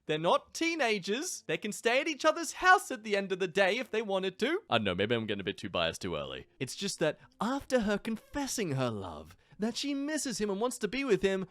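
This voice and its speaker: A man's voice: sits high, wildly swooping pitch, a little fast, hardly any pauses, fairly bright tone, dry recording. A young man from England hosting a reaction channel, reading online stories aloud and adding sarcastic asides. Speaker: YouTube reaction host.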